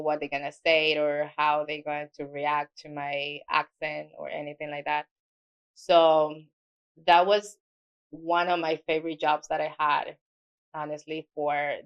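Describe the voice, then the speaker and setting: A woman's voice: sits low, little pitch movement, measured pace, dull audio. A middle-aged woman with a Spanish accent, a native Spanish speaker, telling her work story in a casual interview with small grammar slips. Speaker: guest, mortgage loan officer.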